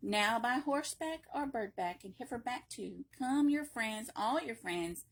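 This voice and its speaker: Expressive higher-voiced woman reading aloud. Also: unhurried. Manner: playful, expressive, emphatic with repetition and dramatic hushes. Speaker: library read-aloud reader. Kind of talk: animated children's picture book reading with playful asides.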